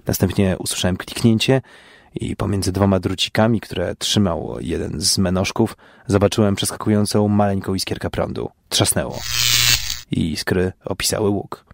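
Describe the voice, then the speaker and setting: A man's voice: low voice, steady tone, moderate pace, creaky. A man reading first-person fiction in a dramatic storytelling style. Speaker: storyteller, audio show host.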